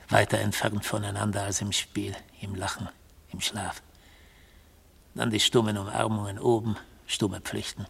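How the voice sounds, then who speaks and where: Gravelly, husky brooding male storyteller. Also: lowish voice, exaggerated voice. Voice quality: hoarse, gravelly. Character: brooding, defiant male defendant telling a fateful love story. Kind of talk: literary German radio play, monologue narration.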